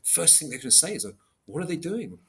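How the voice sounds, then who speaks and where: A man giving an academic explanation in an interview, measured and hesitant, working step by step through examples. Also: fairly high pitch, big pitch swings, fairly bright tone. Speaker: podcast guest, philosopher of biology.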